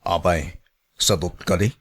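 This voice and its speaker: A man's voice: low voice, fairly even intonation, measured pace, breathy edge, exaggerated voice. An actor with an Ilocano accent playing a familiar, flirtatious and sympathetic village man in a radio drama. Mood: curious, chatty.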